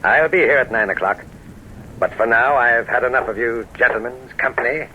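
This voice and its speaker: A dull, faintly noisy vintage radio recording carries an imperious, easily offended gentleman. He speaks formally and haughtily, snapping out curt commands in an old radio-play style. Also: mid-range voice, moderate pace. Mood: imperious, irritated. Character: touchy, imperious stranger demanding a knife duel. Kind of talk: old-time radio drama acting.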